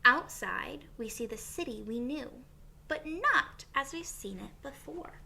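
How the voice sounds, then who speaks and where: A woman reading a picture book aloud to young children as a teacher would, expressively, with pauses and questions for her listeners. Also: high voice, lively intonation, gravelly, bright, forceful tone, slight background noise.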